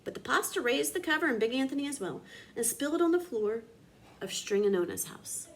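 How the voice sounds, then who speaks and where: A woman reading a children's storybook aloud in an expressive, dramatic teacher's manner. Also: high-pitched, a little fast, a little hiss or hum.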